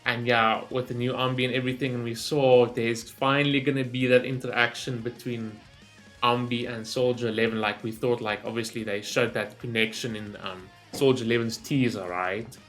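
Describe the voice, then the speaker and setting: A man with an average-pitched voice gives casual reaction commentary as a gaming video host. He rambles and thinks aloud, often tagging a quick check onto his sentences. Music with guitar plays behind him. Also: flat delivery, fairly bright tone.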